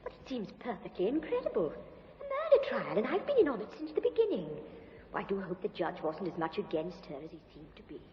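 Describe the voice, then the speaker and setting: A breathless society gossip, rattling on fast with wildly swooping pitch. It is an old radio recording, dull and roomy. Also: female voice, huge pitch swings, slight background noise. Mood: gushing. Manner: breathless, gushing. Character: gushing female courtroom gossip.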